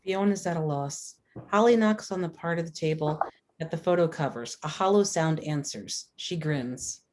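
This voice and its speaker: A woman's voice: sits low, moderate pace, a little mellow, a little hiss or hum. A woman reading scripted stage directions as narrator at a screenplay table read.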